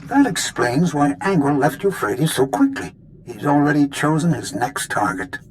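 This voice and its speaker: An actor playing a clever, grumbling scientist in a video game, wordy and precise. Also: male voice, on the high side, lively intonation, normal speed, a little hiss or hum, natural voice, poor audio. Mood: analytical. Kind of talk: scripted video game acting.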